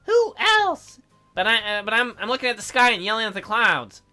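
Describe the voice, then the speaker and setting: A man's voice: sits high, quick pace, bright, forceful tone, faint music behind. A man hosting a let's play, reading a visual novel aloud with joking, mock-incredulous asides. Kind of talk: casual game commentary and reading aloud.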